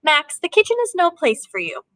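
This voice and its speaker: A woman's voice: high voice, very animated, brisk, sharp tone, no room sound, pitched above the performer's own voice, exaggerated voice. A woman voicing a bossy young big sister from a picture book, firm and instructing. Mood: bossy, scolding.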